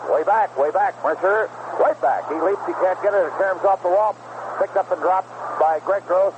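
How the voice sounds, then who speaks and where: A man announcing a baseball game live on the radio, giving play-by-play commentary. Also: fairly high pitch. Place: outdoor ballpark broadcast booth. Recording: dull, very noisy 1970s radio broadcast. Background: loud outdoor ambient noise.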